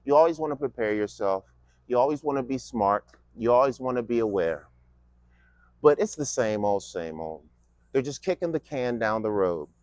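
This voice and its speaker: A man's voice: medium pitch, expressive, moderate pace, a little mellow, no room sound. A man in his fifties delivering a casual, mocking political monologue with lots of rhetorical questions. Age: 50s. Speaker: vlogger giving a political speech.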